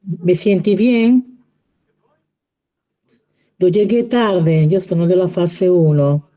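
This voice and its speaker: Una mujer de lengua materna italiana que habla español con acento italiano, buscando las palabras en una clase en línea. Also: female voice, lowish voice, fairly even intonation, warm tone. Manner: slow, searching for words. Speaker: student asking questions. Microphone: video call.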